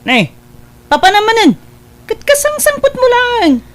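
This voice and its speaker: A woman's voice: very high voice, sing-song, measured pace, exaggerated voice. A woman with an Ilocano accent playing a teasing, hard-drinking elder sister in a radio comedy drama. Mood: playful.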